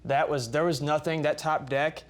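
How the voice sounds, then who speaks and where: A man's voice: fairly high pitch, fairly even intonation, normal speed. A man with an American accent, plain-spoken and insistent, giving testimony as a plaintiff in a TV courtroom. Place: TV courtroom studio.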